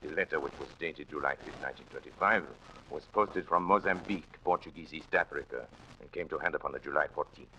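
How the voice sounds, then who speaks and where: A man's voice: rumbling low, fast, bright, forceful tone. A man giving dramatic scripted narration for an old-time radio drama. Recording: noisy vintage radio recording.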